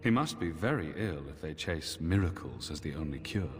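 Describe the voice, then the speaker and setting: A man voicing a weary, dour Templar knight commander with formal, measured speech in a fantasy video game. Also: rumbling low, expressive. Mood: grim, bitter. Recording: long echoing hall reverb.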